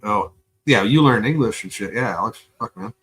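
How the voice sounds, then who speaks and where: A man from Canada streaming live, chatting loosely and profanely with lots of fillers, and he is high. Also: lively intonation, moderate pace, fairly bright tone, breathy edge. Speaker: live streamer reacting to videos. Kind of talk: casual rambling stream chat.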